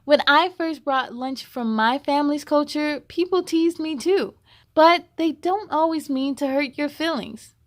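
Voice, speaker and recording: A woman plays a kind young girl from a picture book. The voice is high and unhurried. She sounds earnest and encouraging. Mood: gentle, reassuring.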